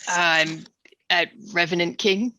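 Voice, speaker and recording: A woman's voice: fairly low pitch, slow, a little hiss or hum, low-quality recording. A woman playing a dry, exasperated small-town mayor with sarcastic delivery in a comedy table read. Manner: sarcastic, deadpan.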